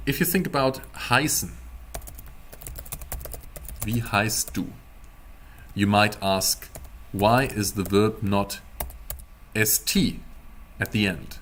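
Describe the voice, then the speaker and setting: A man giving a casual live-streamed language lesson as a teacher, explaining word by word in a halting, deliberate way. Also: fairly low pitch, fairly bright tone, a little hiss or hum. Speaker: German teacher.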